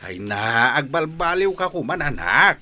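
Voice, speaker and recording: A man's voice: fairly high pitch, sing-song, a little slow, bright, forceful tone, exaggerated voice. A man acting in an audio drama as a stern, middle-aged Filipino father, lecturing his son reproachfully. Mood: stern, admonishing. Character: stern, scolding father of a hard-drinking grown son.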